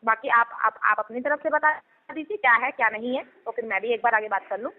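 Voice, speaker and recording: Female debt collection agent, high voice, rapid insistent delivery, recorded over a phone call. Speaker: debt recovery agent on a loan settlement call. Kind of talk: business phone conversation. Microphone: telephone line.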